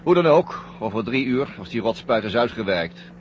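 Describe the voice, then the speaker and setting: A man's voice: medium pitch, animated, moderate pace, lightly acted. A man acting a brusque, hypnotized astronaut in a science-fiction radio drama, clipped and commanding, obedient to his orders. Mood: cold, obedient. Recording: muffled old radio recording, loud cabin hum.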